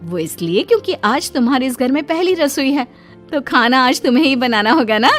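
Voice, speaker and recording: Give this a high female voice for a woman playing an elder sister-in-law in a cartoon. She is brisk and chiding, the one who runs the household's mornings. Music plays underneath. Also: expressive, a bit bright.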